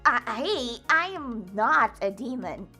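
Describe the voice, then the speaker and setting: A woman's voice: fairly high pitch, animated, takes it slowly, pushed and bright, husky, faint music behind, full character voice. A woman playing an awkward, sweet, easily flustered teenage girl who stammers, in an audio drama.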